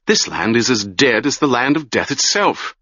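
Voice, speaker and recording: A man's voice: medium pitch, sing-song, normal speed, bright, forceful tone, no room sound, dull audio. A man voicing an earnest, restless young man in a storybook, close to his own reading voice. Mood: bitter. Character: impatient young man on a journey.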